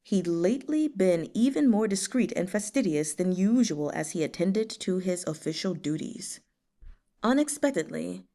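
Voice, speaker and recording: A woman's voice: lowish voice, dark tone. Woman narrating a novel. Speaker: reader hosting a book read-along. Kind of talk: audiobook-style narration with casual asides.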